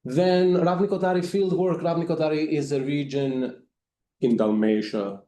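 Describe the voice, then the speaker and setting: A man giving a presentation as a volunteer, speaking haltingly with frequent fillers. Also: a little high, steady tone, warm tone, echoey room. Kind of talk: presentation talk.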